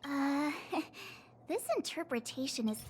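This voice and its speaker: A woman playing a perky, easygoing teenage girl adventurer in a video game, expressive and full of exclamations. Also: high-pitched, unhurried, slight background noise. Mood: surprised, relieved.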